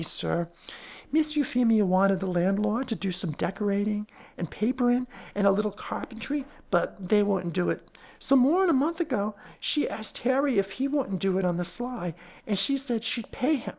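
A woman's voice: medium pitch, vocal fry, dark tone, pitched above the performer's own voice. A woman voicing a timid, slovenly housekeeper who frets and stammers as she chatters. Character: timid slovenly middle-aged female housekeeper.

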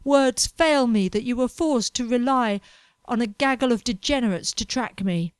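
A woman voicing a pompous, melodramatic young man chasing gold, complaining loudly about everything around him. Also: a little high, moderate pace, clean tone, dry recording, studio quality, voice pushed up, lightly acted. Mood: indignant. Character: anxious, pompous young male fortune hunter.

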